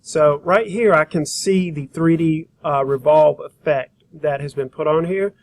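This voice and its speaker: Higher-voiced man giving an informal software tutorial. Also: unhurried, no echo. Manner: informal, thinking aloud while working. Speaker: graphic design instructor.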